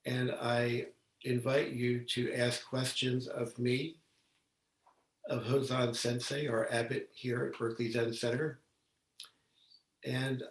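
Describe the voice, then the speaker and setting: A man delivers a Buddhist talk at a very unhurried pace, pausing often as he chooses his words. His pitch is mid-range, and he sounds set back from the microphone in a reverberant room, as on a video call. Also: a bit bright. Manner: halting, deliberate delivery with long pauses. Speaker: Zen Buddhist teacher giving a talk. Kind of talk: measured dharma talk. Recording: roomy, echoey, distant microphone on video call.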